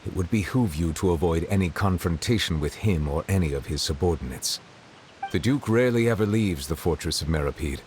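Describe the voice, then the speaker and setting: Man voicing a deep, formal official. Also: slight background noise, lightly acted. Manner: formal, measured and courteous. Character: formal, composed male official, polite and measured. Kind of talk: video game voice acting.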